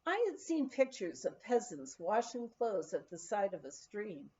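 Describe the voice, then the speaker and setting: A woman reading a humorous memoir aloud as an expressive, animated storyteller. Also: mid-range voice, measured pace, dull audio. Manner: animated storytelling with acted dialogue. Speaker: audiobook reader.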